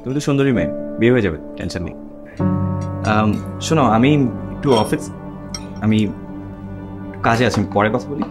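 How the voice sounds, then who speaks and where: A man's voice: medium pitch, animated, music in the background, natural voice. A man acting in a TV drama as a hesitant, evasive young Bangladeshi newlywed who works in an office. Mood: awkward, hesitant. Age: young adult. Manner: hesitant, halting.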